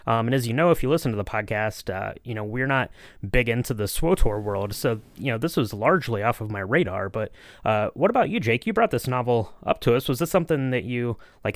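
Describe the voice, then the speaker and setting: A man's voice: medium pitch, no room sound, studio quality. A man co-hosting a podcast, explaining background in a casual, conversational way. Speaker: podcast co-host. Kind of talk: casual discussion.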